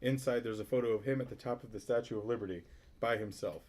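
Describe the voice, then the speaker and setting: A man's voice: mid-range voice, fast talker, darkish tone. A man reading stage directions as narrator of a sitcom table read.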